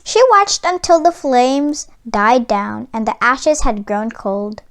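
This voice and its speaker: A woman reading a children's story aloud as scripted, expressive narration. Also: a little high, slow pace. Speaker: storybook reader.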